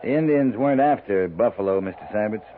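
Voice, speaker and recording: A man with an American accent playing a grave, world-weary cavalry captain in a 1950s radio western, speaking in terse, deliberate phrases. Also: fairly low pitch, natural voice. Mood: weary resolve. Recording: muffled, noisy 1950s radio recording.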